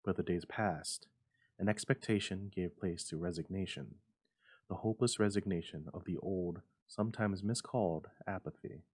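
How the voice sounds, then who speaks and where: A man's voice: low-pitched, moderate pace, darkish tone, no echo. A man reading a literary story aloud as a dramatic narration. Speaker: story reader.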